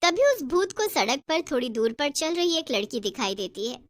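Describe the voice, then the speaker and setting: A woman's voice: sits high, big pitch swings, moderate pace, dry recording. A woman narrating a scripted horror story as its storyteller.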